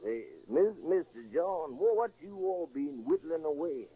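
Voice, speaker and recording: Stammering, folksy jailbird. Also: male voice, fairly high pitch, very animated, unhurried, breathy edge, dull audio, full character voice. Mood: curious, puzzled. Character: naive, curious, deferential jailhouse cellmate. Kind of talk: 1930s radio crime drama acting. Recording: thin, band-limited 1930s radio recording.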